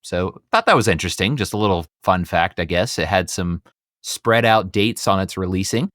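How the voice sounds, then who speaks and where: A middle-aged American man hosting a podcast in a lowish voice. He is chatty and enthusiastic, recorded close and dry with no room sound. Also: normal speed, a bit bright, no echo. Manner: upbeat, enthusiastic. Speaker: podcast host.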